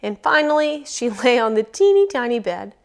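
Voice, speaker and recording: A woman reading a children's storybook aloud as storyteller, expressive and emphatic, lingering on phrases. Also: fairly high pitch, normal speed, vocal fry.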